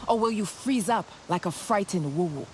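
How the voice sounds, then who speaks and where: A cocky clan racer played by a woman in a fantasy game. She is animated in pitch, taunting in short, challenging phrases. Faint noise sits behind her. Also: normal speed, natural voice, poor audio. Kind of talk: game character acting.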